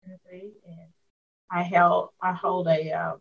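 A woman in her fifties from Arkansas tells her story in an unhurried interview, circling back with frequent fillers. Her voice is a little low and breathy. The sound comes through a dull, muffled call line. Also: level tone. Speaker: school superintendent, guest. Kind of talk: casual interview over a call.

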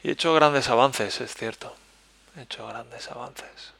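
Soft-spoken Spanish man, gravelly voice. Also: medium pitch, no echo. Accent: Spain Spanish. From Spain. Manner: soft-spoken, introspective, halting. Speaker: podcast host. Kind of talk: personal reflective monologue. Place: living in Germany.

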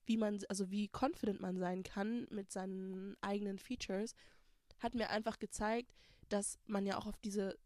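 A woman's voice: medium pitch, fairly even intonation, moderate pace, dark tone, no room sound, studio quality. A young woman in her twenties from Germany, hosting a personal monologue, talking candidly and with emphasis, dropping English words into her German. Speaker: podcast host.

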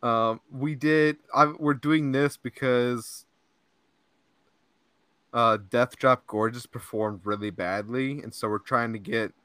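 A man's voice: mid-range voice, steady tone, slow pace, fairly bright tone, smooth, dry recording. A young man hosting a podcast, chatting casually and rambling, laughing often and saying "like" and "dude" a lot. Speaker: podcast host. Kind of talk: casual chat. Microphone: podcast mic.

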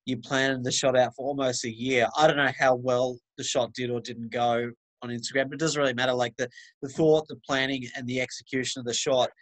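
A man's voice: mid-range voice, flat delivery, brisk, a bit bright, low-quality recording. A man, hosting a podcast in casual chat, agreeable and swearing casually. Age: 30s. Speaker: podcast host.

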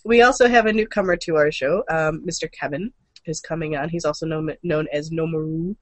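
A woman co-hosting a podcast in playful, slangy chat, her voice deepened from being sick. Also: a little fast, no echo. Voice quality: deep for a woman. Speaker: podcast co-host. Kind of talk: casual chat.

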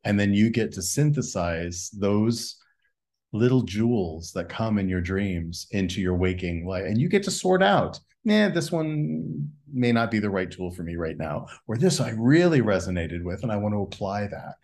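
A man's voice: on the low side, big pitch swings, moderate pace, a little mellow. A man speaking as a guest in a reflective spiritual conversation, musing and asking a string of rhetorical questions. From United States.

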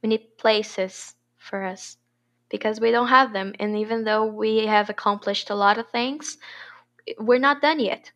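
A woman's voice: mid-range voice, steady tone, unhurried, fairly bright tone. Passionate Brazilian teen girl. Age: teens. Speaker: podcast guest. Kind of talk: interview answers.

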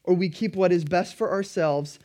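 A pastor preaching a sermon in an earnest, emphatic way, his throat a little dry and raspy. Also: high-pitched, steady tone, normal speed, dark tone.

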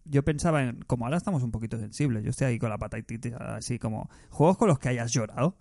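A man's voice: mid-range voice, fast talker, dark tone, dry recording, clean studio sound. A man, talking in a casual chat, reflective and full of restarts. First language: Spanish. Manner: reflective, restarts often, emotional recalling. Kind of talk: casual chat about video games.

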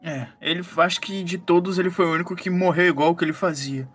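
A man's voice: high voice, quick pace, fairly bright tone, slightly rough, soft background music. A man playing a skeptical, analytical psychologist detective in a horror roleplaying game, talking casually with a Brazilian accent. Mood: reasoning. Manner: casual, reasoning.

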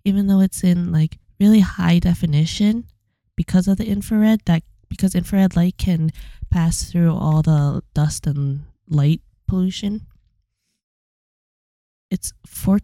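A woman's voice: lowish voice, measured pace, warm tone, no room sound. A young woman co-hosting a podcast in laid-back casual chat, her voice worn and losing out. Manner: laid-back, enthusiastic with swearing. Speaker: podcast co-host.

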